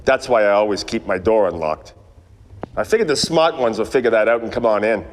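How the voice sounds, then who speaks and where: An actor playing a wry, world-weary 1940s private detective in a parody of old radio noir. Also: male voice, lowish voice, expressive, fairly quick, a little hiss or hum, natural voice. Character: wisecracking hard-boiled 1940s male private detective. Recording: echoey stage or hall reverb.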